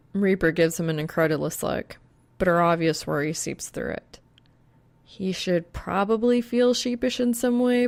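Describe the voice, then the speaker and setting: Female audiobook narrator, lowish creaky voice, unhurried and measured, very close dry sound. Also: darkish tone, dry recording. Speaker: fan fiction audiobook reader. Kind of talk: scripted narration of a romantic story.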